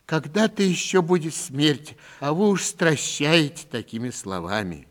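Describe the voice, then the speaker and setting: A man's voice: on the high side, sing-song, unhurried, a bit bright, pitched above the performer's own voice. A man voicing a kindly, anxious old husband with an emphatic, fretful delivery in a literary story. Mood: uneasy teasing.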